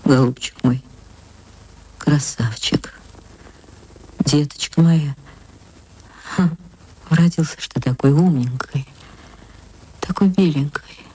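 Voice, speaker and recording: A woman's voice: deep voice, slow, pauses often, dark tone, husky, voice pushed down, exaggerated voice, low-quality recording. A woman playing a tender, easily moved and doting provincial woman in a radio story, speaking with gushing affection. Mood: doting, hushed. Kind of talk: literary radio reading.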